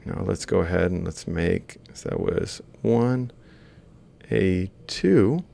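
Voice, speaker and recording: A man's voice: low-pitched, animated, takes it slowly, gravelly, warm tone. A man lecturing informally, explaining a worked example step by step and thinking aloud as he writes. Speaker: university lecturer.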